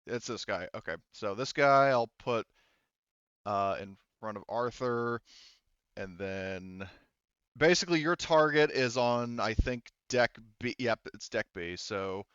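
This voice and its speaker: A man acting as game master for a casual tabletop roleplaying game, halting and thinking aloud as he explains rulings. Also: mid-range voice, fairly bright tone, clean tone, no room sound, lo-fi sound.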